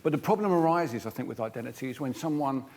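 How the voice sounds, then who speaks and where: Quick-talking male debate panellist. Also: medium pitch, lively intonation, darkish tone. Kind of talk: live panel discussion. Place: on stage in a theatre.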